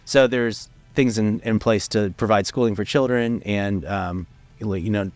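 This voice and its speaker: A young man talking casually as an interview guest, relaxed and rambling, with many fillers and restarts. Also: on the low side, a little slow, no room sound, faint music behind. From United States, raised in Venezuela. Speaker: filmmaker guest. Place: studio.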